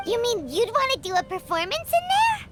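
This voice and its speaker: A tiny, excitable fairy companion from a video game pipes up in an extremely high, squeaky child's voice. The delivery is bubbly and cartoonish, leaping around in pitch, with prominent background music. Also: female voice, a little fast, exaggerated voice. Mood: excited. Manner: bubbly, refers to herself in third person.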